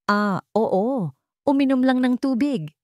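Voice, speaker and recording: A woman voicing a shy, tender young mother who speaks softly and hesitantly in an audio love story. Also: high-pitched, animated, slow, no room sound, clean studio sound, voice pushed up. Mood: nervous. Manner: hesitant, soft-spoken.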